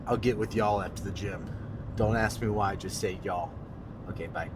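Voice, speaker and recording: A man's voice: lowish voice, fairly even intonation, normal speed, background noise. A man with a congested, nasal voice, chatting in a joking, boastful way as a fitness vlogger while driving. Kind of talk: casual vlog while driving. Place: car.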